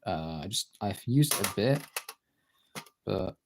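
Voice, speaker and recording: Gravelly man chatting casually while drawing. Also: fairly low pitch, expressive, slow pace, background noise. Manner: informal, rambling, thinking aloud. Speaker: streamer, artist.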